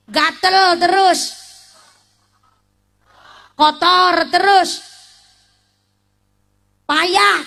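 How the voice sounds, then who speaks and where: A woman in her late twenties giving a humorous sermon, teasing the congregation with questions and mixing in regional words. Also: high voice. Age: late 20s. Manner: lively, teasing, asks the audience questions, mixes in Javanese and Sundanese words. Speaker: preacher.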